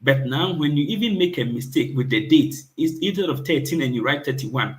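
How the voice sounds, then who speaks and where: A man's voice: fairly high pitch, animated, brisk. A man hosting a casual chat, jumping in with asides and quoting people's excuses. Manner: chatty, interjects with asides and quoted examples.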